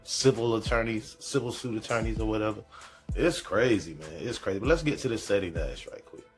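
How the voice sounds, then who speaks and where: Man, low pitch, informal reaction commentary, slangy, repetitive emphasis, faint music behind. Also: fairly quick. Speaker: host of a reaction show. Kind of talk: casual commentary, reacting to a news video.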